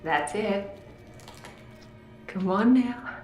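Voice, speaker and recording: Possessed demon mother, sickly sweet and menacing, slow and drawn out, echoing with a film score underneath. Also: medium pitch, darkish tone, soft background music. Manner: slow, coaxing and sinister. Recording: roomy echo with film score.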